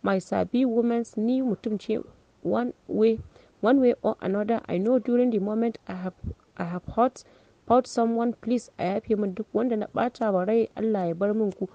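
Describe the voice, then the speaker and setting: A woman voicing an emotional teenage girl giving a halting, slow graduation speech, in a dull lo-fi recording. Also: a little high, fairly even intonation, no room sound, natural voice. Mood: tearful.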